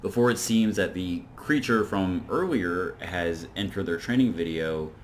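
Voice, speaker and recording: A man's voice: low-pitched, measured pace, a little hiss or hum. A man hosting an informal video essay, recapping and commenting in a rambling, conversational way. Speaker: video reviewer and host.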